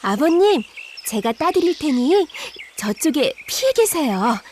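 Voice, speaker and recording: A woman voicing a polite young daughter-in-law in a children's folktale, with sweet, drawn-out exaggerated delivery. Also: high voice, very animated, darkish tone, a little hiss or hum. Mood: polite, urgent. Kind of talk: children's folktale character acting. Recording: prominent music behind the voice.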